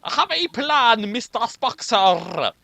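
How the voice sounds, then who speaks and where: A man's voice: very high-pitched, sing-song, slow, vocal fry, pushed and bright, no room sound, voice pushed up, exaggerated voice. A man voicing an eager, scheming starship engineer with a hammy Scottish accent and rolled Rs, in a comic fan fiction reading.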